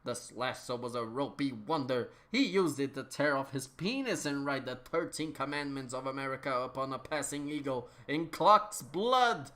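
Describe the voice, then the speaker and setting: A male narrator with a mid-pitched voice reads an absurd story aloud. He performs it theatrically, stretching phrases out with mock gravity, as a comic dramatic reading. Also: expressive, moderate pace, hardly any pauses, bright, forceful tone.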